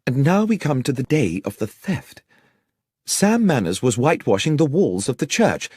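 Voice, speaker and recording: A man's voice: medium pitch, big pitch swings, normal speed, darkish tone, breathy edge, no room sound, clean studio sound. A man voicing an earnest village vicar who recounts events in formal, wordy, precise sentences for an audiobook. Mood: earnest, uneasy. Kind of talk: audiobook character dialogue.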